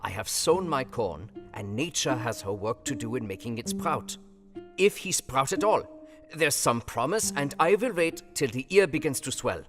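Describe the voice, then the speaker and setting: An actor playing a wise older professor in an audio drama, kindly and grave, with emphatic, halting counsel in foreign phrasing. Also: male voice, medium pitch, animated, close to an everyday voice. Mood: playful, instructive. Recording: long reverb, music behind. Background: guitar music.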